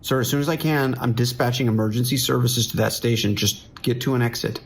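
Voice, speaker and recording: A man acts as a police dispatcher in an audio drama, with a mid-pitched voice. He is harried but commanding, keeping to short, urgent instructions and procedural phrasing. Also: steady tone, fairly quick, gravelly, husky. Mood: controlled, firm.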